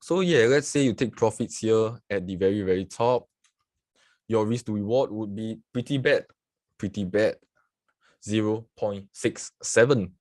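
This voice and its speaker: A man's voice: lowish voice, dry recording. A man from Singapore who teaches forex trading on a livestream, talking casually through chart examples and often saying "so yeah". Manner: casual, repeats "so yeah", greets viewers from the chat. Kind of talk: live screen-share market analysis with chat.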